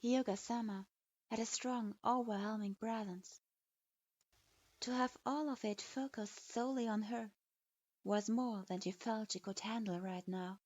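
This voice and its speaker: A woman narrating fan fiction aloud in a steady storytelling manner, recorded with a dull lo-fi sound. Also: a little high, a little slow, no room sound.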